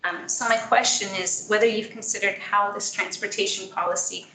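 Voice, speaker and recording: A soft-voiced woman, a parent, reading a prepared statement at a school board meeting in an earnest, measured way. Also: medium pitch, steady tone. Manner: earnest, measured, with frequent ums. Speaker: parent speaking at public meeting. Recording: echoey meeting room with faint noise.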